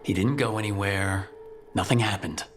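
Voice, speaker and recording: An actor playing a steady, wry young adventurer leading a group in a video game, direct and plain-spoken. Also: male voice, fairly low pitch, moderate pace, slight background noise, close to an everyday voice. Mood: reassuring.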